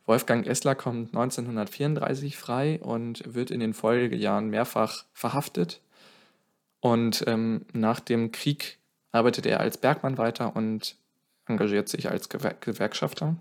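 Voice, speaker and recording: A man telling a song's history in casual podcast talk, often correcting himself mid-sentence. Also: medium pitch. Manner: explains at length, self-correcting, thinking aloud. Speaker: podcast co-host.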